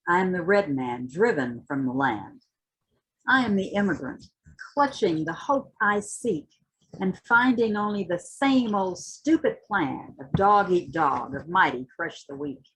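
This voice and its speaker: Husky woman, lower-pitched voice, dramatic literary recitation, impassioned, emphatic, deliberate phrasing. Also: a bit bright, rough recording. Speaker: reader at a community literary read-in. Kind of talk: introduction and dramatic poetry recitation.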